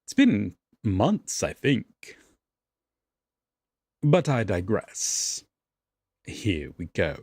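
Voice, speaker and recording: A man's voice: on the low side, huge pitch swings, extremely slow, long pauses, a little mellow, breathy edge, no echo, studio quality. A man chatting casually off-script between takes as a voice actor, profane and amused at himself. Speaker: amateur voice actor recording audio roleplay.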